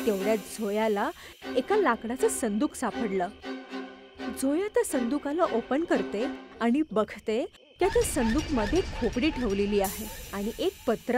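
A woman narrating a scripted horror story. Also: fairly high pitch.

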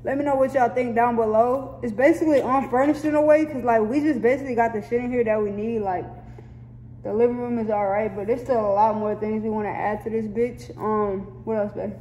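A woman's voice: on the high side, fairly even intonation, fairly quick, mellow, echoey room, slight background noise, low-quality recording. A woman hosting a casual vlog, giving a house tour in loose, slangy, swear-heavy talk, recorded on a handheld phone inside a house. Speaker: vlog host.

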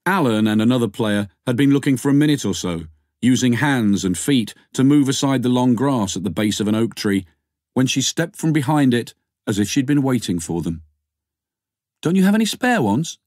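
A man's voice: medium pitch, animated, a little fast, a little mellow, no room sound, studio quality. A man reading scripted fiction as an audiobook narrator.

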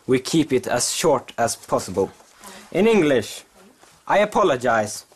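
A young man presenting a lesson in a classroom, in a deadpan, explanatory way. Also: fairly high pitch, husky. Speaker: student teaching a class. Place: classroom indoors.

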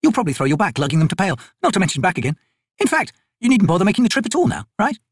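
A man voicing a tough, brisk woman corporal in an audiobook, blunt and teasing in manner. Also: high-pitched, big pitch swings, breathy edge, dry recording, studio quality, voice pushed up, full character voice. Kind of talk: audiobook character voice.

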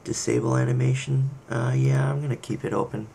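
A man giving a casual, unscripted software walkthrough, thinking aloud with hesitant pauses. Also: medium pitch, steady tone, creaky. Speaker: software tutorial presenter. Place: indoor screen-recording voice-over. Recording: faint background noise.

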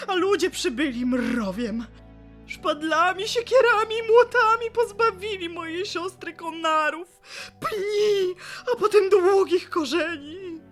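A woman playing a grieving, vengeful willow tree in an audio drama, with a tearful, theatrical delivery. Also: high-pitched. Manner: theatrical, tearful, drawn-out lament. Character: weeping willow tree, grieving, vengeful and bitter female spirit.

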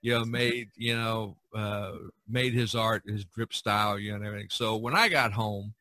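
A man hosting a podcast, chatting casually and halting often with "you know". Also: on the low side, fairly even intonation, bright, forceful tone, no echo. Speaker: podcast host. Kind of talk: casual chat, telling stories.

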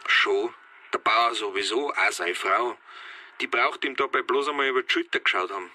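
An actor playing an earnest, defensive farm safety inspector with a Bavarian accent, explaining insistently in a radio drama. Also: male voice, medium pitch, moderate pace, bright, forceful tone, a little hiss or hum. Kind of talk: naturalistic radio drama dialogue.

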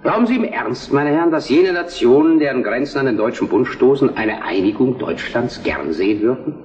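An actor playing a forceful parliamentary debater in a historical radio drama, rhetorical and challenging. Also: male voice, medium pitch, animated, brisk, natural voice. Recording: muffled, reverberant hall.

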